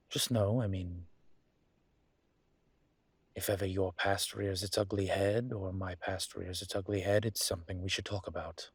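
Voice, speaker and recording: Casting: male actor for ancient demon teacher, intimate roleplay audio. Deep, husky tone, courteous, measured and a little hesitant, with a teasing streak. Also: dry recording. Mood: reassuring, earnest. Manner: measured, hesitant, formal wording. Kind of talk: intimate one-sided audio roleplay acting.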